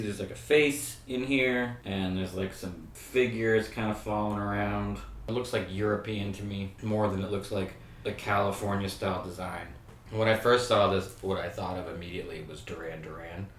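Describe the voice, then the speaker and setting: A middle-aged man hosting a show and talking casually to camera about skateboard graphics, relaxed and rambling, with many "like" fillers. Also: deep voice, recorded from a distance. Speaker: host breaking down skateboard graphics. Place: small room indoors. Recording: roomy sound, faint room hum.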